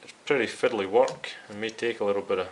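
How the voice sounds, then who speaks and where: A man's voice: on the low side, fast talker, a little hiss or hum, poor audio. A man hosting a hands-on repair video, talking casually and explaining each step directly to his viewers. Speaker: host of a radio restoration series.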